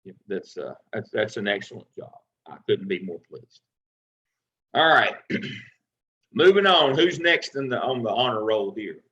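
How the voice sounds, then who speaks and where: A man giving feedback as a professor in an online class, his voice bright and forceful. He talks in a folksy, repetitive way, restarting phrases. The video call sound is a little dull. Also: on the low side, very animated, a little slow. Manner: folksy, repeats words as he restarts.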